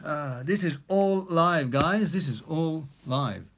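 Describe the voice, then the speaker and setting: A man's voice: fairly high pitch, expressive, slow pace, fairly bright tone. A man in his forties, not a native English speaker, chatting casually on a live stream from his small home studio, reading out viewer comments with plenty of fillers. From Australia. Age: 40s. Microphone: phone camera at arm's length.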